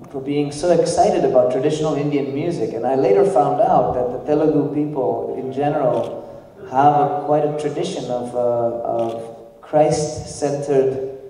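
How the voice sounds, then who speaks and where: A man in his forties with a slight Nepali accent, a band leader chatting casually from a church stage with relaxed, halting speech. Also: medium pitch, slight background noise. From grew up in Nepal. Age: 40s. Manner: relaxed, halting, many fillers. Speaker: band leader introducing the group. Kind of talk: casual stage talk to a congregation. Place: large church hall. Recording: echoey hall from far off.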